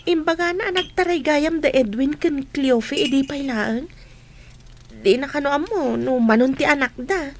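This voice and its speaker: A woman's voice: high voice, moderate pace, background noise. A woman playing a polite, friendly young doctor in training in an audio drama. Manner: respectful, friendly. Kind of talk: Philippine audio drama acting.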